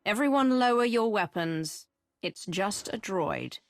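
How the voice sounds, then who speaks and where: A woman's voice: medium pitch, lively intonation, measured pace, gravelly. A woman playing a commanding, decisive Sith agent in a video game, assertive and cool.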